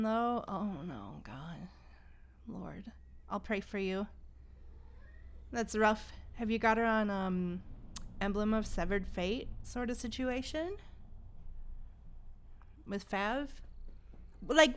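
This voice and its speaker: A woman's voice: a little high, slow pace, long pauses. A woman streaming a game, chatting casually with viewers in gamer slang. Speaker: game livestreamer. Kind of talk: casual livestream chat.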